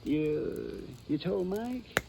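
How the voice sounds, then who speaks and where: An actor playing a cranky, suspicious old prospector with folksy, hectoring American speech in a radio western. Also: male voice, sits high, animated, drawn-out words, creaky, exaggerated voice. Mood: sheepish, flustered. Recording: vintage radio recording with background hiss.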